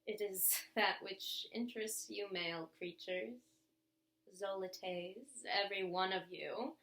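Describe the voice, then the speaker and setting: A woman giving an expressive, theatrical reading of old love letters, with deliberate pauses. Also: medium pitch, roomy sound, rough recording. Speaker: reader of bedtime stories.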